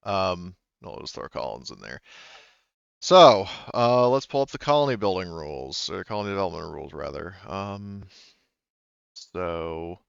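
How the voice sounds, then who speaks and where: A man's voice: sits low, lively intonation, unhurried, gravelly, clear, resonant tone, no room sound, dull audio. A man running a tabletop roleplaying game as game master, talking in a rambling way with many fillers and restarts.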